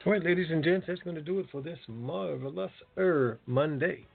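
A man's voice: on the high side, normal speed, gravelly. A man co-hosting a call, speaking casually with an announcer-like turn. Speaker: call co-host. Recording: lo-fi phone line, faint music.

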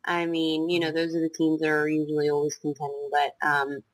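A woman's voice: deep voice, completely flat delivery, a little fast, no room sound, low-quality recording. A young woman from South Jersey with a slight New Jersey accent, talking casually as a guest coach, with frequent ums and restarts. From South Jersey, USA. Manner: hesitant, many ums and restarts. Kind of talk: casual interview chat.

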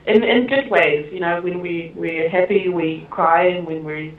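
Woman director, interview guest, chatty and giggly, lowish voice, remote call line. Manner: chatty and halting, many fillers and laughs. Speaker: film director being interviewed. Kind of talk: casual interview conversation. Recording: echoey, tinny phone-like line.

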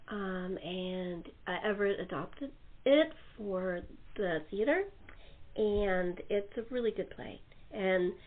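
A woman hosting a podcast, chatting casually as she interviews a guest. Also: medium pitch. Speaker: podcast host. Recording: narrow phone-line sound.

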